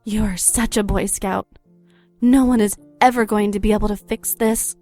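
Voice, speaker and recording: A woman's voice: medium pitch, steady tone, moderate pace, darkish tone, faint music behind, lightly acted. A woman playing a hungry vampire wife in an audio drama, coaxing and mocking her husband. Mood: seductive, taunting. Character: seductive, taunting vampire wife, hungry and pleading.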